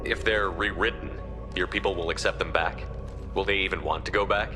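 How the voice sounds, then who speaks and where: An actor playing a resolute, authoritative human starship commander in a video game, speaking in a direct, commanding manner. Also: male voice, deep voice, fairly even intonation, fairly quick, big-room sound, natural voice. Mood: questioning, wary. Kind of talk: video game character acting. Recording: game music and ship ambience behind.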